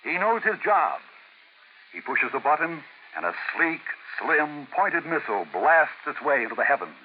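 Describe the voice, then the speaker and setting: A male announcer reading a measured, declamatory scripted commentary for an old radio broadcast. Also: fairly high pitch, sing-song. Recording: tinny old radio broadcast with faint noise.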